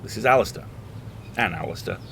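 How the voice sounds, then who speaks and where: Guy acting tired defiant radio host, low gravelly voice, horror drama, bit of noise behind. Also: animated, fairly bright tone. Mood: weary, reflective. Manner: grave, deliberate.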